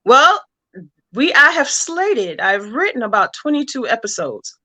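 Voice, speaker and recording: A woman's voice: fairly high pitch, very animated, a little slow, bright, forceful tone. A woman speaking casually as an interview guest, explaining plans for a story as a comic book writer.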